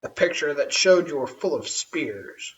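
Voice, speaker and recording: Story reader doing a bossy old-time tribe chief guy, grand and slow, dull distant recording. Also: medium pitch, animated, lightly acted. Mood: outraged. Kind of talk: acted storybook dialogue.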